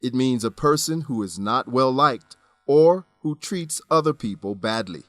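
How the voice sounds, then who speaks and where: A man presenting an English lesson in deliberate, scripted educational narration. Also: medium pitch, animated, fairly bright tone, no echo. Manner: deliberate, instructional.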